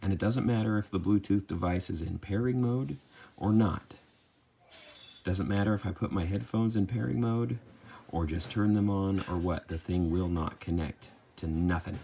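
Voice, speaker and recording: A man giving a casual spoken product review in an exasperated, grumbling, halting manner. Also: low-pitched, dark tone. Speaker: customer reviewing a gadget. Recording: clearly noisy with clicks.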